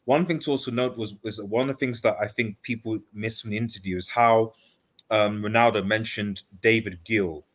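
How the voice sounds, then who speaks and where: A man's voice: on the low side, fairly even intonation, moderate pace, fairly bright tone. A man on a casual football panel, explaining his points in a polite, conversational way. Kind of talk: casual sports discussion.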